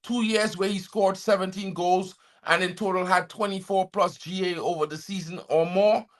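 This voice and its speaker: A man's voice: high voice, fairly even intonation, normal speed. A man from Trinidad and Tobago hosting a football fan channel, reading transfer news aloud and cutting in with chatty, opinionated asides to his viewers.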